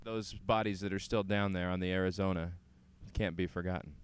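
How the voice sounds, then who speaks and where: A man's voice: low-pitched, fairly even intonation, a little fast, clear, resonant tone. A man hosting a radio show, interviewing guests and presenting links between segments.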